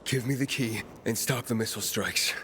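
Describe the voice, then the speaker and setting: A man's voice: medium pitch, moderate pace, fairly bright tone, slight background noise, lightly acted. An actor playing a determined young man in a video game, speaking with urgent emotion as he searches for someone he lost. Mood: shaken, demanding. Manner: urgent, emotional delivery with strained calls. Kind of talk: dramatic video game cutscene acting. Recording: music under the dialogue.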